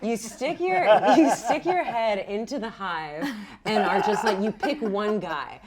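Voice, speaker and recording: A woman improvising narration as a game master in a casual, animated, rambling way. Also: mid-range voice, measured pace, over a noisy crowd. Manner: animated, rambling, acts out characters' lines.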